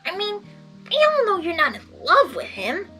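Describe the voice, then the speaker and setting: A woman's voice: extremely high pitch, wildly swooping pitch, moderate pace, bright, forceful tone, faint music behind, pitched above the performer's own voice, heavily acted. A woman voicing a brash, tomboyish young female pony who talks bluntly and teases. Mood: brash teasing. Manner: blunt and teasing.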